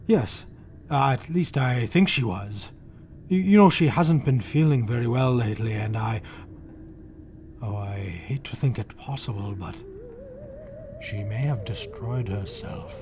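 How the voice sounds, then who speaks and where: An actor playing a panicked, guilty husband in 1940s radio horror style, melodramatic, stammering and dropping into breathless whispers. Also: male voice, mid-range voice, very animated, measured pace. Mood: nervous, evasive. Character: panicked guilty husband confessing his crime. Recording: old 1940s radio broadcast, loud music behind.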